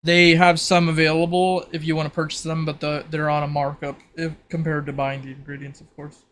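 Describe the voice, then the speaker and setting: A man with a fairly high, bright and forward voice acts as game master. He casually explains tabletop game rules in a conversational manner, with faint noise behind him. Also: little pitch movement, fairly quick.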